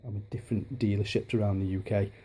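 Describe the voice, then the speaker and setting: A man in his thirties from Liverpool, chatting casually on a home vlog with a softened Liverpool accent, rambling with many restarts and ums, filmed on a handheld camera in his dining room. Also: fairly low pitch, fairly even intonation, fast, a little hiss or hum. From Liverpool, England. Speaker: hobbyist vlogger. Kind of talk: casual home video chat.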